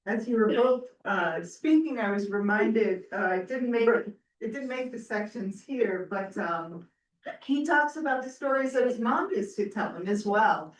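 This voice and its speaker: A woman moderating an event in a conversational, halting and reflective way. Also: mid-range voice, animated. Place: indoor event room. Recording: echoey room, distant mic, faint noise.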